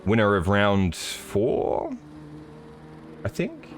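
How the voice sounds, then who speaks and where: A middle-aged man calls a sim race on a stream, his low voice a little hoarse. He talks in a loose, joking way full of ums and uhs. A faint hiss sits under the audio. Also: extremely slow. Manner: relaxed, joking, with many fillers. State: slightly hoarse. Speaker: sim racing commentator. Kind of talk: live stream race commentary.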